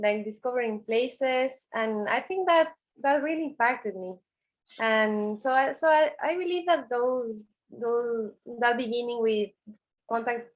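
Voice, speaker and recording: A woman speaks in a fairly high voice. She answers earnestly, often restarting her sentences and filling pauses with "eh". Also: a bit bright. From Ecuador. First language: Spanish. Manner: earnest, many restarts and "eh" fillers. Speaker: artist guest. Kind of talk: interview answers.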